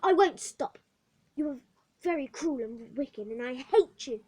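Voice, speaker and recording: Woman voicing a shrill pleading orphan girl. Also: expressive, normal speed. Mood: defiant. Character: desperate defiant little orphan girl.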